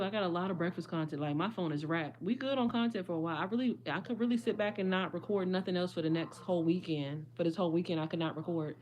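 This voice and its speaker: A woman, her voice slightly hoarse, chatting casually and rambling to viewers on a livestream. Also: lowish voice, darkish tone. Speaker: vlogger.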